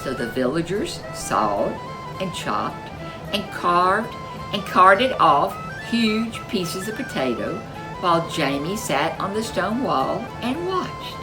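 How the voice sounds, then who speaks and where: A woman reading a folk tale aloud as a storyteller, expressive and animated. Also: fairly low pitch, takes it slowly, music in the background, rough recording. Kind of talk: storybook read-aloud narration.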